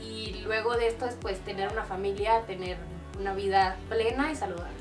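An eighteen-year-old woman from Cuba answering interview questions in a relaxed, earnest way. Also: mid-range voice, a little fast, over music. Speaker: guest YouTuber. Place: small room at a home, plain backdrop.

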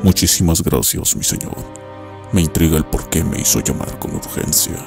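A man's voice: a bass voice, animated, normal speed, gravelly, over music, exaggerated voice. A man playing a haughty, menacing older marquis in a historical audio drama, with formal, condescending old-fashioned speech. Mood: courteous.

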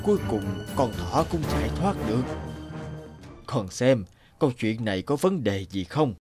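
A man playing a wise, patient elderly father in a cartoon, measured and instructive as he gives advice. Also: medium pitch, very animated. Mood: storytelling. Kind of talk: cartoon dialogue and storytelling. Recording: background music under speech.

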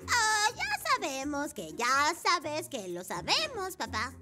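A goofy, excitable little cartoon boy bike. The voice is extremely high and swings about, often drawing words out, with music clearly behind. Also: slow, full character voice. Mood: sheepish. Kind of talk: animated children's dub.

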